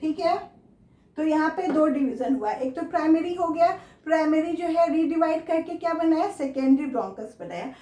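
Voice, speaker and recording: A woman's voice: high-pitched, expressive, moderate pace, dark tone. A woman with an Indian accent giving a school lecture as a teacher, asking questions and repeating key terms. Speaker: biology teacher. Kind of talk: classroom lecture for school students. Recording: echoey room.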